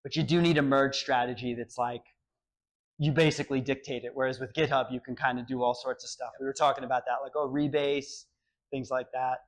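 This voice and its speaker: A man in his thirties giving an animated technical talk at a meetup in a small cafe, often acting out example dialogue, recorded from a camera at a distance. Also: medium pitch, a little fast. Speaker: meetup speaker.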